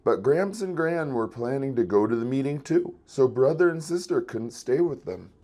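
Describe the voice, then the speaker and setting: A man reading a children's story aloud in an expressive storytelling style. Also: mid-range voice, moderate pace. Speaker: children's book reader.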